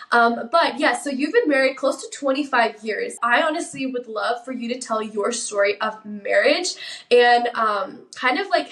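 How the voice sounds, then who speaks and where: A woman's voice: fairly high pitch, fairly bright tone. A young woman hosting a casual chat and interviewing a guest in a gushing, enthusiastic way. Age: young adult. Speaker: host of a relationship advice show. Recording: roomy echo, distant mic, music behind.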